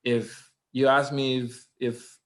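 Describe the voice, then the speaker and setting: Costa rican guy, accented english, earnest chef talk, quick bursts then halting. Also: medium pitch, flat delivery. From Costa Rica. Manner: earnest, fast bursts, halting non-native grammar. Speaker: executive chef and co-owner. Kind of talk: casual interview talk.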